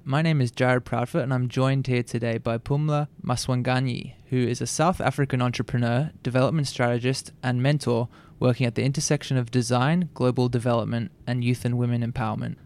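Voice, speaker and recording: A man hosts a podcast in a gravelly voice that has some fry in it. He reads a formal introduction and then asks his questions in a calm, measured way. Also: mid-range voice. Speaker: podcast host. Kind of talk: interview hosting.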